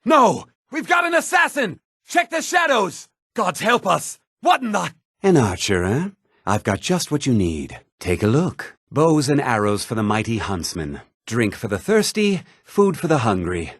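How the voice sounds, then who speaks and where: Haughty, husky-voiced fantasy townsman. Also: on the high side, sing-song, a little slow, a bit bright, dry recording, studio quality, exaggerated voice. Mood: alarmed, then salesmanlike. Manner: condescending, curt, sneering. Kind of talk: fantasy video game character lines.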